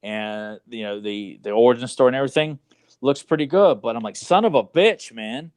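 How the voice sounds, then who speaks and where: A man's voice: medium pitch, fairly quick, darkish tone, clean tone, no echo. A man co-hosting a casual movie podcast, talking in an exasperated, sarcastic way with loose, salty language. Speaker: podcast co-host.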